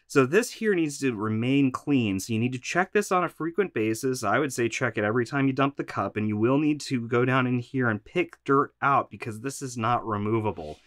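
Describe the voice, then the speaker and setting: A man's voice: medium pitch, fairly quick, hardly any pauses, a bit bright, no room sound. A man, a little congested from allergies, hosting a practical vacuum maintenance tutorial from a shop workroom. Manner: practical, instructive, conversational.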